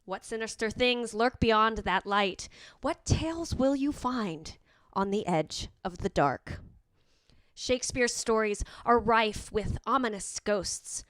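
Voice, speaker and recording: A woman host giving a scripted, theatrical introduction to spooky tales in a measured way. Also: a little high, a bit bright, no echo. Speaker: host, announcer. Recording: music behind, dry sound. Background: music.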